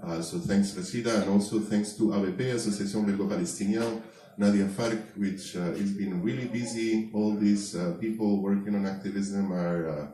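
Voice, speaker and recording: A man hosting an event, giving introductory remarks in a hesitant way with many pauses and fillers. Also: lowish voice, steady tone, warm tone. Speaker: event organizer, host. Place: event space before an audience. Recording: echoey hall, distant mic, faint noise.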